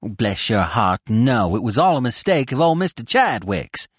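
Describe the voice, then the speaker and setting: A man voicing a brisk, chatty middle-aged country aunt who rattles on in rustic fashion, as a storybook character. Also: mid-range voice, big pitch swings, slightly rough, no echo, studio quality. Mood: brisk, merry.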